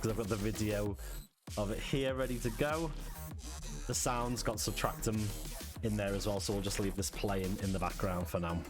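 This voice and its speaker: A man with a Liverpool accent, chatting casually on his game stream, thanking viewers and chuckling often. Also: on the low side. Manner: chatty, thanks chat, frequent chuckles and fillers. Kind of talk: relaxed live-stream chat. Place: home. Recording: clear background music. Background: music.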